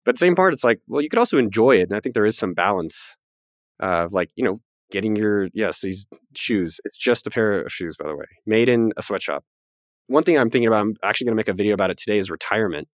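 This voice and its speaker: A man in his late thirties talks fast, cracking self-deprecating jokes as he hosts a podcast chat. His voice is fairly low. The sound is dry and close, with no room around it. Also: animated, no room sound. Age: 30s. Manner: quick, joking, self-deprecating. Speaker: podcast host, business founder. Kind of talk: casual podcast conversation.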